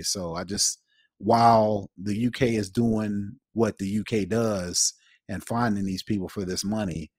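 A man co-hosting a podcast, giving casual, opinionated commentary with emphatic, deliberate phrasing. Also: lowish voice, no echo, clean studio sound. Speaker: podcast co-host.

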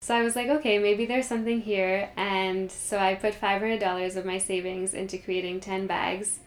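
Flat-voiced young woman, echoey room. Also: mid-range voice, moderate pace. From Kenya. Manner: earnest, storytelling, frequent ums. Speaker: guest, company founder. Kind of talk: conversational interview. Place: meditation studio.

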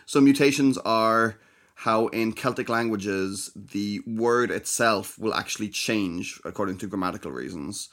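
A young man with a fairly low voice talks through his ideas in a relaxed podcast chat. He hesitates a lot, restarting sentences and filling gaps with ums. His delivery is careful and explanatory. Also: a little slow. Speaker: podcast co-host. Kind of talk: casual chat.

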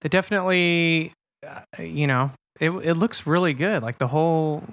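A man's voice: a little high, normal speed, a bit bright, dry recording. A man co-hosting a casual podcast, joking and asking playful questions. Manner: joking, laughs easily. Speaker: podcast co-host. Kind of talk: casual chat.

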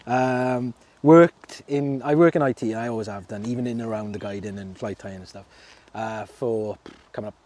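A man's voice: mid-range voice, moderate pace, a little mellow. A man from Merthyr Tydfil with a South Wales accent, chatting as a guest in a casual interview, laughing often and full of fillers and restarts. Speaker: fishing club secretary, guest.

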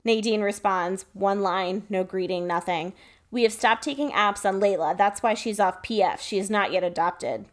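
A woman podcast host talking casually in an animated, chatty way, like someone telling a friend a story and dramatising it. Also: medium pitch, moderate pace. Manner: animated, chatty, reading emails aloud dramatically.

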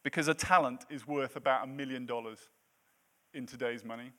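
A man's voice: mid-range voice, steady tone, fairly quick, a bit bright. A man in his late thirties preaching a sermon in a church, with a self-deprecating, jokey manner between points. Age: 30s. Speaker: pastor.

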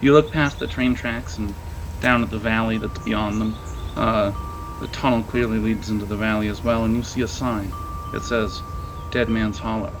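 A man's voice: on the low side, fairly even intonation, normal speed, noisy background. A man running a tabletop role-playing game, improvising narration in a casual, conversational way and reacting to his players. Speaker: game master.

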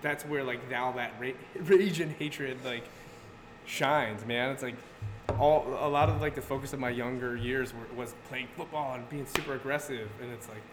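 A man in his thirties, a guest artist, talking loosely about his work and sports, heavy on filler words and quick laughs. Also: mid-range voice, steady tone. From Connecticut. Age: 30s. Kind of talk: casual podcast chat. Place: brewery taproom. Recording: roomy live venue with loud background noise.

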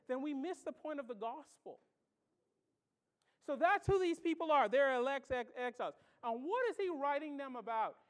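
Woman preacher from the Bahamas, high voice, emphatic sermon, lots of rhetorical questions. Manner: repeats key phrases for emphasis.

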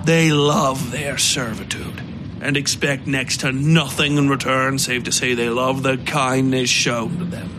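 A man voicing a bitter, drunken aristocrat who lectures and rants with a sneer, sometimes breaking into shouts. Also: mid-range voice, fairly even intonation, measured pace, background noise, close to an everyday voice. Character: drunk, bitter, ranting male aristocrat theorist. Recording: music bed underneath.

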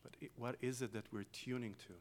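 A man from Israel with an Israeli accent, a native Hebrew speaker, giving a slow, reflective guest sermon in a church. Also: medium pitch, fairly even intonation. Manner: slow, reflective, with long pauses and soft trailing phrases. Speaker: guest preacher. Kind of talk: meditative sermon with chanted Hebrew opening.

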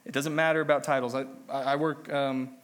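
A man's voice: mid-range voice, flat delivery, fairly quick. A man delivering a sermon while he has a cold, sounding a little nasal, teaching in a conversational, self-deprecating way. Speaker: lay preacher.

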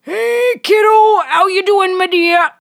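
A woman voicing an affectionate old male shopkeeper in a loud, folksy, familiar manner for an audio story. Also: extremely high pitch, takes it slowly, creaky, no echo, clean studio sound, voice pushed up, full character voice. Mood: jovial, hearty.

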